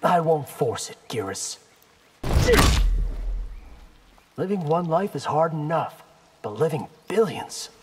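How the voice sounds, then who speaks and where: A defiant, wry young video game warrior, played by a man. His fairly high voice moves with lively intonation over loud background noise. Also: moderate pace, close to an everyday voice. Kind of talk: fighting-game character dialogue.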